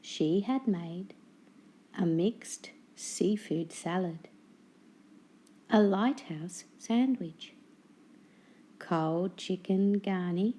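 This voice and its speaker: A woman reading a children's storybook aloud as narrator, with deliberate, dramatic phrasing. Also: lowish voice, mellow.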